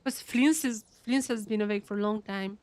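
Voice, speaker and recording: A woman giving excitable, casual live reactions as she streams a game, often repeating her words. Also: medium pitch, normal speed, no echo.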